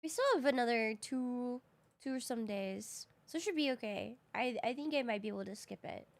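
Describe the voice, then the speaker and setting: High-voiced woman, casually streaming a game. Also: normal speed, vocal fry. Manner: slangy, informal. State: groggy voice, recently woken. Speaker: live streamer. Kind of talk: casual gaming stream chat.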